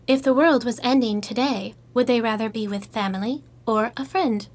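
A high-voiced, chirpy female AI host, played by a woman from an audio drama. She is relentlessly upbeat and scripted, like a game host working through set phrases. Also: normal speed, close to an everyday voice. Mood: cheerful, polite. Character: chirpy artificial intelligence matchmaking host, female.

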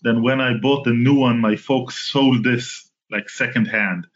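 A man in his forties from Israel, a company founder talking as a podcast guest, halting with many restarts and fillers. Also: mid-range voice, steady tone, lo-fi sound. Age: 40s. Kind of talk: casual interview talk.

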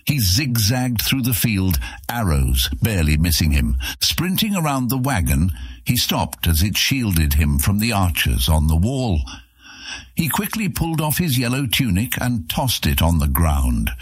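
A man's voice: sits low, breathy edge, dry recording. A man narrating an epic fantasy adventure serial as a scripted audiobook.

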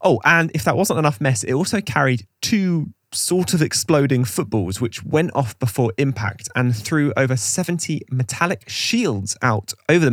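A man's voice: mid-range voice, dry recording. Man presenting a podcast, dry sound. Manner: wry, chatty asides. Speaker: podcast co-host. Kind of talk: scripted podcast presenting with conversational asides.

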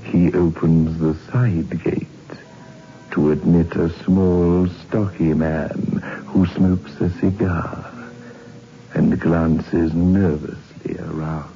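A man's voice: low-pitched, fairly even intonation, vocal fry, heavily acted. An actor playing a macabre, mock-genial male host who narrates a 1960s radio horror show theatrically. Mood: ominous. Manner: slow, ominous, theatrical. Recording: muffled old radio recording over music.

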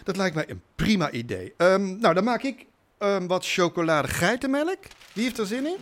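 An actor in a radio drama playing an eager, fussy, chatty bed and breakfast host who hesitates and repeats himself. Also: male voice, sits high, very animated, normal speed, fairly bright tone. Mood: eager, welcoming. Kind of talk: naturalistic radio drama acting.

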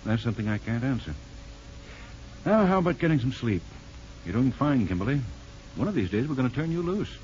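An actor in a 1950s radio drama playing a brisk, kindly hospital doctor with a paternal manner. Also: male voice, mid-range voice, sing-song, a little mellow, close to an everyday voice. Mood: matter-of-fact. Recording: dull 1950s radio broadcast recording.

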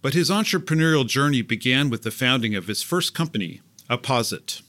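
A man hosting a podcast, reading scripted narration in a clear, even, polished way and putting questions to his guest. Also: medium pitch, a little fast. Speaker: podcast host.

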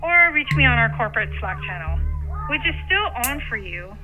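A woman's voice: on the high side, big pitch swings, fairly quick, loud music behind the voice. An actress playing a polite, businesslike female corporate colleague on a telephone voicemail in an audio drama. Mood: polite, pressing. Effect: telephone voicemail filter. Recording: tinny narrow phone line.

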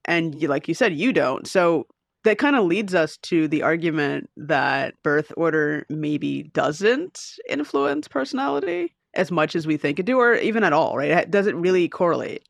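A man's voice: fairly high pitch, very animated, moderate pace, gravelly, no echo, studio quality. A man co-hosting a podcast, chatting casually with lots of like and restarts. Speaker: podcast co-host.

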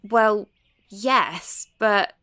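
Cautious woman ghost hunter, mid-pitched. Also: drawn-out words, noisy background. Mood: cautious, wry. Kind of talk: audio drama acting.

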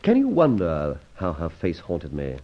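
A brisk, middle-aged gentleman sleuth in an old radio serial. His delivery swings freely in pitch as he muses and exclaims, on a dull, muffled recording. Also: huge pitch swings, full character voice. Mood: reflective, certain.

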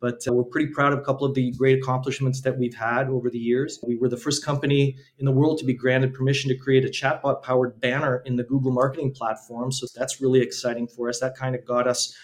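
Flat-toned Canadian man, businesslike. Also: mid-range voice, fast talker, few pauses. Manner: steady, businesslike and promotional, with some restarts. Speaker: startup co-founder, guest. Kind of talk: conversational interview.

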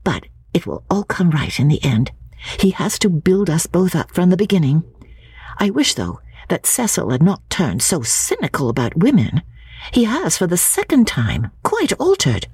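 A woman voicing a fond, scolding young wife in a dramatic audiobook reading, brisk and exclamatory. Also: fairly low pitch, huge pitch swings, warm tone, slightly rough, no echo, pitched above the performer's own voice, full character voice. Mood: exasperated. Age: young adult.

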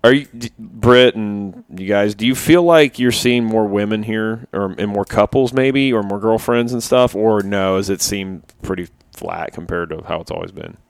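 A man's voice: on the low side, moderate pace, hardly any pauses, no room sound. A man hosting a podcast interview at a trade expo, asking loose, curious questions.

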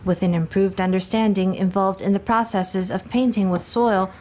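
A woman narrating a news feature in a measured, scripted reading voice. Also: medium pitch, steady tone. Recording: narration over loud music.

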